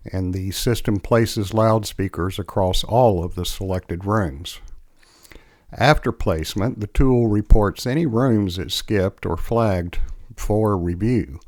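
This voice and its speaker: A man presenting a software demo as scripted tutorial narration, in a measured, explanatory way on a close mic. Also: low voice, dry recording.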